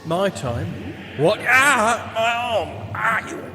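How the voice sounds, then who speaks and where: A man playing a flustered, sarcastic spaceship adventurer in a comedy audio drama, with an excitable, rambling delivery. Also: high-pitched, slow, noisy background. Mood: flustered, pained. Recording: loud music under the voice.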